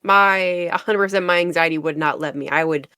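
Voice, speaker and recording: A woman's voice: sits low, fairly quick, pushed and bright, clean tone, no echo, clean studio sound. A woman guest in an interview, chatting casually, laughing often and filling her speech with "like". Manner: giggly, fills her speech with "like". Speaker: guest, VTuber.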